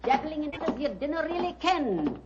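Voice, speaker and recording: Dour Scottish housekeeper, muffled. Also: female voice, high voice, big pitch swings, brisk. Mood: ominous, insistent. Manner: ominous, emphatic. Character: superstitious, dour Scottish housekeeper, darkly warning. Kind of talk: 1940s radio mystery acting. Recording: muffled, hissy old radio broadcast.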